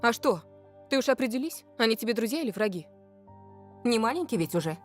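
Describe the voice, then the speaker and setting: A woman's voice: a little high, expressive, quick pace, lightly acted. An actress voicing a stern, irritable mother in a visual novel, curt and nagging as she scolds her son. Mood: irritated. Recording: game audio under loud music.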